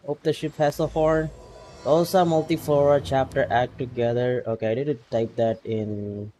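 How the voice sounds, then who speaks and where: A man's voice: mid-range voice, little pitch movement, normal speed, dark tone, soft background music. A man streaming a video game, making laid-back, joking asides. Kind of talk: casual game stream commentary.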